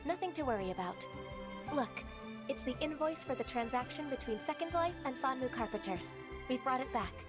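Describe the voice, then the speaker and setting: A woman voicing a composed, formal female secretary in a video game, polite and measured, over game music. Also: sits high, animated.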